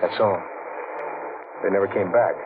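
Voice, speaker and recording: An actor playing a cool, sardonic Coast Guard lieutenant in a 1940s radio thriller, with a dry, unhurried delivery. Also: male voice, low-pitched, steady tone. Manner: dry, unhurried, hesitant pauses. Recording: tinny, hissy 1940s radio recording.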